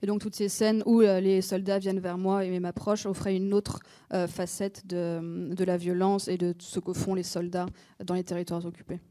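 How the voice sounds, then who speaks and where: A woman working as an interpreter at a master class, steady with frequent fillers. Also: lowish voice, normal speed. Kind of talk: consecutive interpreting. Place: conference centre.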